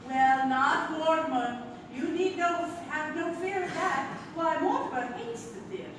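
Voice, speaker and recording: A woman's voice: high voice, measured pace. A woman acting in a comic stage play as a sweet, fussy old aunt, genteel and doting. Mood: fond, reassuring. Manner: genteel, doting, sing-song. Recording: distant, very echoey theatre recording with hiss.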